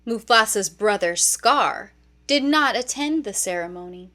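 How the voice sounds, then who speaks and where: A woman narrating a children's read-along storybook in an expressive, deliberate storytelling manner. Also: fairly high pitch.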